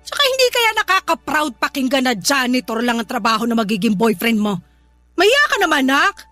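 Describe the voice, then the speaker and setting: A woman's voice: sits high, big pitch swings, fairly quick, bright, forceful tone, dry recording, soft background music, exaggerated voice. An actress playing a haughty middle-aged mother in a Filipino radio drama, nagging with sarcasm and condescension. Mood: indignant, nagging. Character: haughty, scornful middle-aged mother belittling daughter's suitor.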